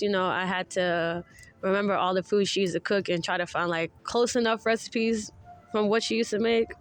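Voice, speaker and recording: A young woman chatting casually as a podcast guest, laid-back and laughing often, swearing freely. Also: medium pitch. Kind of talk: casual chat.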